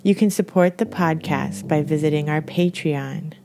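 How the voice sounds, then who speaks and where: A woman hosting a podcast who reads a measured scripted introduction and spells out web addresses letter by letter. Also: deep voice. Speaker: podcast host. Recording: guitar music under the voice.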